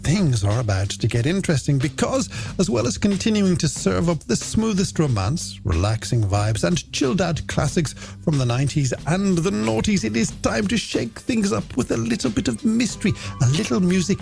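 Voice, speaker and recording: Animated Irish male late-night radio DJ. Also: sits high, moderate pace, gravelly, few pauses. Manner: playful, theatrical, polished delivery. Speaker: late-night radio music show host. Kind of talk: radio DJ presenting, game segments and shout-outs. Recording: music bed under the voice. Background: music.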